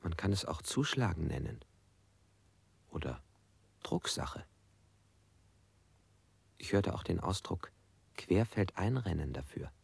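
A gravelly, beaten prisoner. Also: male voice, deep voice, big pitch swings, takes it slowly, pauses often, heavily acted. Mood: brooding, bitter. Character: bound, beaten male prisoner under interrogation. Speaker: prisoner in a radio play. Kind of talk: radio drama acting.

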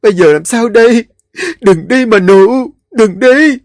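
A man's voice: mid-range voice, big pitch swings, normal speed, a little mellow, breathy edge, dry recording, studio quality. A man voicing a desperate young wife who pleads and sobs for mercy. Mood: sobbing. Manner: sobbing pleading.

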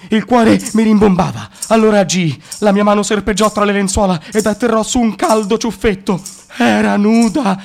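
An actor playing a young man who tells a comic tale of his awkward youth, shifting between hushed asides and frantic bursts. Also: high voice, normal speed, gravelly. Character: young man recalling a clumsy adolescence. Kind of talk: radio play narration.

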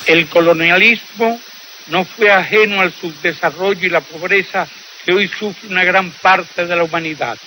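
Bright, solemn male speech excerpt. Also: high-pitched, normal speed, a little hiss or hum, low-quality recording. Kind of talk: formal declaimed speech.

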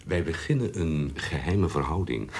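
A man playing a sly, easygoing rogue who poses as a merchant in a historical radio drama, with a husky voice and a theatrical, questioning manner. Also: extremely low pitch, lively intonation, normal speed, slight background noise. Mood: teasing, wary.

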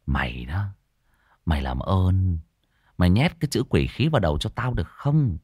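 Storybook young man acted by a male reader, lowered pitch, stern, exasperated, admonishing, low-key. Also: expressive, moderate pace, darkish tone, breathy edge, no room sound, studio quality.

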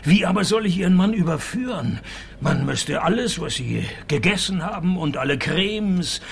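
A man playing a dry-witted, unflappable private detective in a radio play, deadpan and sardonic. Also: high voice, moderate pace, vocal fry, a little hiss or hum. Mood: dry, sceptical. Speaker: narrator and lead character. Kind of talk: radio play acting with first-person narration.

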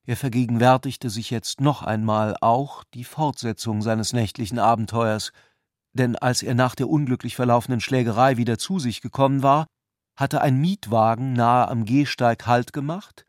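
A man reading literary narration for a radio drama in a measured, articulate way. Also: mid-range voice, steady tone, no echo, studio quality.

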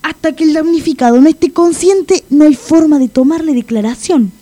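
An actress playing a formal, by-the-book police sergeant in a comic radio drama. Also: female voice, sits high, moderate pace, dark tone, close to an everyday voice. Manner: formal, procedural.

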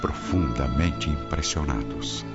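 A Brazilian man narrates a radio drama in a solemn scripted style. His voice is deep, on a slightly dull recording with clear music underneath. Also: slow pace.

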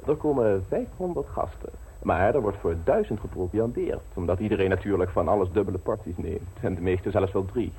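An actor in a radio play voicing a suave, scheming gentleman with a polished, ironic, wordy manner. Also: low voice, lively intonation, brisk, hardly any pauses, slightly rough, full character voice, low-quality recording. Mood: confident. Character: suave scheming gentleman political fixer, urbane and teasing.

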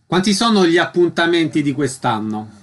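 A man's voice: on the high side, very animated, moderate pace. A man presenting a radio show in a scripted, announcer-like way with concise questions. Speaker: radio host, interviewer.

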